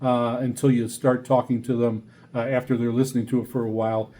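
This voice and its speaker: A man giving a casual, unscripted product review as a vlogger, in a halting, rambling way with repeated words. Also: medium pitch, little pitch movement, mellow.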